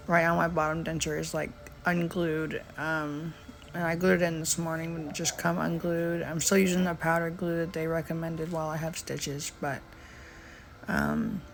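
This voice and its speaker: A woman narrates her own recovery diary in a low-key, steady voice that barely rises or falls. Her tone has a gravelly edge, and she talks in a halting way, pausing often on fillers. A faint hiss sits under the recording. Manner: halting, many "um" pauses, soft-spoken. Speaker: vlogger.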